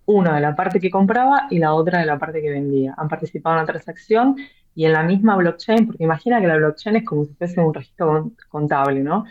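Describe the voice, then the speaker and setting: A woman's voice: low-pitched, lively intonation, fairly quick. A woman answers interview questions at length. She talks with frequent fillers and restarts. The sound is dull and lo-fi. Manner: hesitant, many fillers and repeated words. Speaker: author and blockchain real estate guest.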